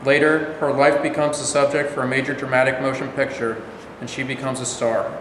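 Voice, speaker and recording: A man's voice: medium pitch, flat delivery, brisk, a bit bright, lightly acted. A man in a staged reading with a formal, deferential delivery, as a presidential aide and narrator. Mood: formal narration. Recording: very echoey noisy hall recording.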